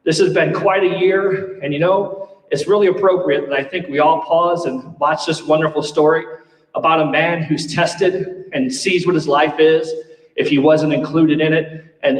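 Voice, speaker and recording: Man, fairly high voice, informal stage speech, long hall reverb, appeal to viewers. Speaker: general manager giving a welcome speech. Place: theatre stage without audience. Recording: echoey empty hall, distant mic.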